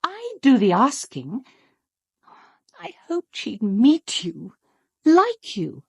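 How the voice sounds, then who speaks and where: An actress playing a sharp, scheming, outspoken British woman with a brisk sardonic manner in a radio drama. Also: high-pitched, huge pitch swings, vocal fry, slightly rough, dry recording, studio quality, heavily acted. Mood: scheming, persuasive. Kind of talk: full-cast radio drama acting.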